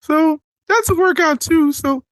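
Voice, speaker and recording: A man calling in to a show, shy and halting, with a soft sweet voice over an online call. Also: extremely high pitch, dry recording. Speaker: call-in listener. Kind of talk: comic call-in bit.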